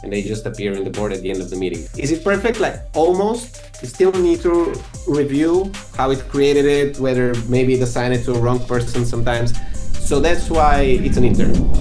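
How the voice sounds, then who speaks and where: A man's voice: medium pitch, expressive, normal speed, darkish tone. Man from Bulgaria, webinar guest, explains step by step, faint music behind. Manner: explanatory, with fillers and listing steps. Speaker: guest, company founder. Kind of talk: live webinar conversation. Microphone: video call.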